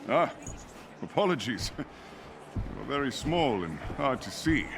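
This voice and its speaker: A man's voice: medium pitch, sing-song, measured pace, gravelly, background noise. A man voicing a pompous, good-humoured Norse god warrior in a video game, theatrical and archaic in phrasing. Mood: jovial, haughty.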